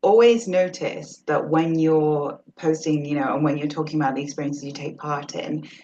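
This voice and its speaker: Low-voiced woman host, chatty. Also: fairly even intonation, moderate pace, dark tone. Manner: chatty, fills with "like" a lot. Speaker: podcast host. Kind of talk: conversational interview. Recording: dull lo-fi call audio.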